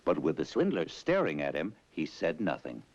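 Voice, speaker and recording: A man's voice: a bass voice, huge pitch swings, normal speed. A man narrating a scripted fairy tale as a theatrical storyteller. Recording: dull lo-fi old tape, music behind.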